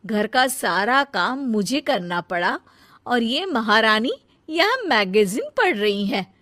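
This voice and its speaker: An emphatic, sharp-tongued elder sister-in-law in a cartoon moral tale, acted by a woman. Her voice is fairly high and measured in pace, in a dry recording. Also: animated, no room sound. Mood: indignant, scolding.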